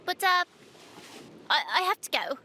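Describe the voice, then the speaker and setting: A woman voicing an earnest, nervous but stubborn young girl with a halting, flustered manner. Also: very high-pitched, lively intonation, sharp tone, slight background noise, exaggerated voice. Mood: nervous, defensive. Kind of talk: audio drama acting.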